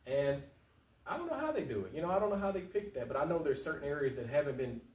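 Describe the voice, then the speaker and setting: An American man speaks very quickly in an earnest, informal interview style, often repeating phrases to drive points home. His voice is mid-pitched and comes with a roomy echo, as if some way from the microphone. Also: big pitch swings, a little mellow. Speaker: political candidate, talk show guest. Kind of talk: conversational interview answers. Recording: roomy echo, distant mic, faint noise.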